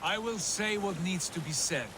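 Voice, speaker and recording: Guy doing a proud brooding viking lord for a game, kinda high voice, speechy and bitter, noisy boat ambience behind. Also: normal speed, lightly acted. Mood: defiant. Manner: solemn, declamatory. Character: embittered, proud Viking lord, grown man, fervent and brooding. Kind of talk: dramatic video game acting.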